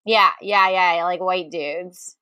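Bright-voiced, exclamatory woman, close and dry. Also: on the low side, steady tone, slow pace, smooth, no room sound. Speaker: podcast co-host and game contestant. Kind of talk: casual banter.

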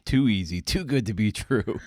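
A man's voice: medium pitch, mellow, dry recording, clean studio sound. A man hosting an interview podcast, talking in an informal, reactive, conversational way.

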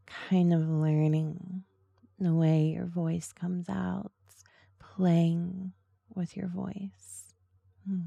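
A woman giving tips on making erotic ASMR audio in a slow, soft, sultry whisper. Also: on the low side, creaky, slightly rough, dry recording. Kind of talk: whispered ASMR talk about voice technique.

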